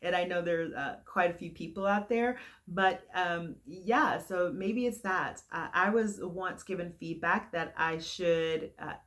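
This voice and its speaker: A young adult woman giving frank, earnest advice to camera in a heavy, loud voice that carries. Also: on the low side, unhurried, hardly any pauses. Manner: frank and earnest, with fillers and restarts. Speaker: vlogger giving career advice.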